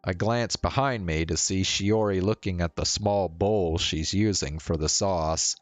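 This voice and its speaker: A man reading a visual novel aloud as narrator, in a measured way. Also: sits low, dry recording. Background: faint music.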